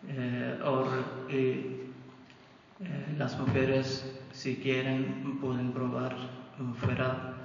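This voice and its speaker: A man in his thirties moderating an interfaith conference, making announcements in a flat, unhurried way in a large wood-panelled hall. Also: medium pitch. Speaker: event moderator. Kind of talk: announcements and leading call-and-response chants. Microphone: standing, recorded by far camera. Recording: echoey hall from far off, dull and noisy.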